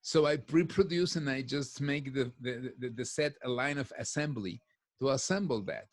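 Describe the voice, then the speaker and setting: A man's voice: mid-range voice, moderate pace, no room sound, clean studio sound. Talkative middle-aged man. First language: Spanish. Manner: talkative, piles up restarts. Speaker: guest. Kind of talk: casual podcast chat. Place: home office. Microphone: headphones on a video call.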